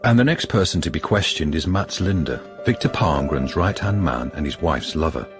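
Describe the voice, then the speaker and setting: A man's voice: a bass voice, lively intonation, normal speed, music in the background. A man narrating a radio drama in a scripted storytelling style.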